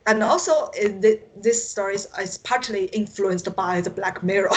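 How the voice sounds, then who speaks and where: A woman's voice: mid-range voice, slight background noise, low-quality recording. A woman from China with a Chinese accent, a native Mandarin speaker, talking as an interview guest and often searching for words. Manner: halting, searching for words, frequent 'like'. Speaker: interview guest, science fiction writer. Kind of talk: conversational interview.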